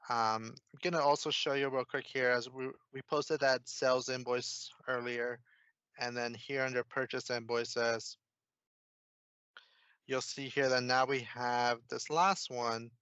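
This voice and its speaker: Male software consultant, informal explainer, steady even intonation, dry close sound. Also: medium pitch, measured pace, clean tone, no room sound, muffled recording. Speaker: software consultant, demo presenter. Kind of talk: casual screen-share software walkthrough.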